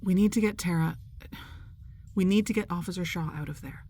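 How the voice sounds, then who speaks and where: An actress playing a dutiful, determined officer in an audio drama, clipped, formal and fiery. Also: female voice, on the low side, a little fast, warm tone, lightly acted. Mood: tense concern.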